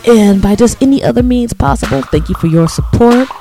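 An American man hosting a radio show, talking casually with informal slang and shout-outs. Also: very high-pitched, animated, fairly quick, gravelly. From United States. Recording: loud music under the voice. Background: music.

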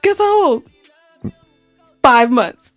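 A woman with a high voice co-hosts a casual comedy chat. She tells stories playfully and gets giggly and animated, with faint music underneath. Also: very slow, dry recording. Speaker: podcast co-host.

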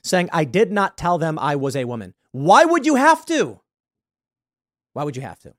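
A man's voice: a little high, big pitch swings, moderate pace, no echo. Man hosting, dry close mic. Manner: hesitant, repeats words, stresses points loudly. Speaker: show host. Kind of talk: political commentary monologue.

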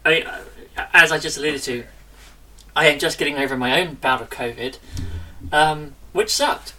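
A woman co-hosting a podcast, chatting casually with a lively, self-interrupting manner. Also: low voice, normal speed, far from the mic, low-quality recording. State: recovering from illness. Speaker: podcast co-host. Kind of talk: casual chat.

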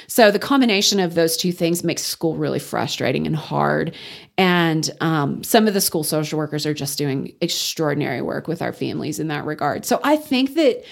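A woman from the Texas Panhandle, a guest in a radio interview, talking loudly and earnestly, leaning hard on key words. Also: low-pitched, animated, normal speed, vocal fry. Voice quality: loud.

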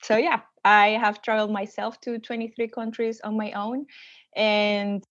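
A woman's voice: medium pitch, normal speed, no echo, dull audio. A woman from Venezuela with a Venezuelan Spanish accent, a podcast guest chatting casually and laughing often. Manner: animated, laughing often, small restarts. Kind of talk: casual interview chat.

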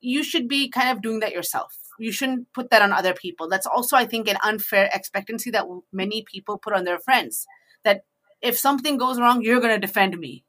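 A woman's voice: on the high side, a little fast, fairly bright tone. A woman hosting a two-person discussion, giving informal, emphatic advice in a conversational way.

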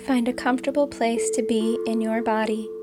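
A woman guiding a meditation as scripted narration, calm and soothing, with deliberate phrasing. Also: fairly high pitch. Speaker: meditation guide and podcast host. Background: music behind the voice.

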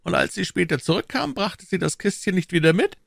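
An imperious male cardinal from an audiobook. The voice is pitched strikingly high and swings widely. He questions briskly and commands keenly, in a dry recording. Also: big pitch swings, gravelly, fairly bright tone, no room sound, heavily acted. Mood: eager, probing. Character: imperious, keen, commanding cardinal, adult man. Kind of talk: audiobook character dialogue.

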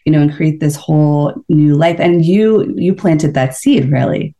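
A woman hosting an interview podcast, reading a scripted intro and then gently prompting her guests with questions. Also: low voice, unhurried. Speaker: podcast host.

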